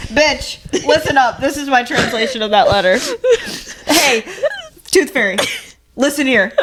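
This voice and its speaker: A woman's voice: high voice, background noise. A young woman co-hosting a podcast, chatting casually and comedically with family, with playful, sweary teasing. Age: young adult. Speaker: podcast co-host.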